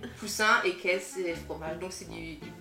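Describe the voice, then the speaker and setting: A woman from Switzerland calmly explains things during a casual food tasting. Her voice echoes in the room. Music plays behind her. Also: on the low side, normal speed, vocal fry.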